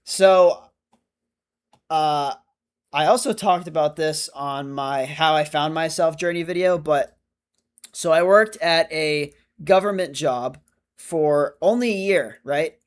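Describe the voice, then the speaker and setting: Male streamer, 25, casual chatty delivery, slightly raised pitch. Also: slow pace, clean tone. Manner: chatty, rambling, self-correcting. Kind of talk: casual livestream chat reading comments.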